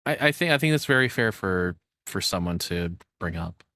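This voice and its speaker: A man's voice: sits low, animated, moderate pace, bright, forceful tone, dry recording, clean studio sound. A man giving casual, rambling reaction commentary, often repeating himself as he thinks aloud and dropping the odd swear. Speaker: streamer reacting to a video.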